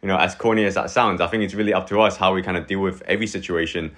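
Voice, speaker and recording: Man, thirties, from Hong Kong, low voice, easygoing interview guest, rambling filler-heavy speech. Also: racing. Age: 30s. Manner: relaxed, rambling, many fillers like "like" and "you know". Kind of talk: casual chat.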